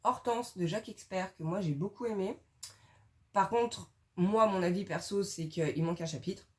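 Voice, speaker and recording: A young woman casually recommending her favorite books in a relaxed video, chatty and slangy with lots of fillers. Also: medium pitch, moderate pace. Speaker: book reviewer.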